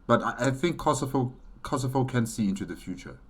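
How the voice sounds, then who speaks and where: A man giving reflective solo reaction commentary, pausing as he thinks aloud. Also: mid-range voice, lively intonation. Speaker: reaction video host.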